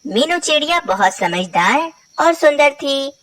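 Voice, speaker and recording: A woman's voice: sits high, animated, a little slow, far from the mic, crowd noise. A woman narrating a scripted moral story for children.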